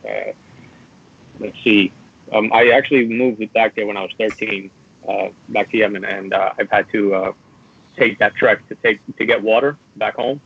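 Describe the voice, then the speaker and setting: A young man from New York speaking as a student guest, earnest and halting, filling gaps with uhs. His voice is fairly low and quite flat, and the audio sounds dull and lo-fi. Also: vocal fry, slightly rough. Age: young adult. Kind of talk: casual interview.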